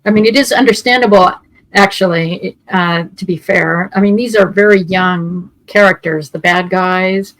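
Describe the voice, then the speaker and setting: Earnest low-voiced woman, dry sound. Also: moderate pace, a bit bright, dry recording, low-quality recording. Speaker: podcast panelist. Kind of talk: casual review chat.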